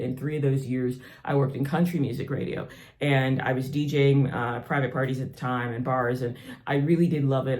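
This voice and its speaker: A man with a Southern US accent talks as a spiritual teacher in a loose, conversational monologue. His voice sits at an average pitch. He sounds earnest and reflective as he explains his ideas. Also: darkish tone. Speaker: spiritual teacher and channel host.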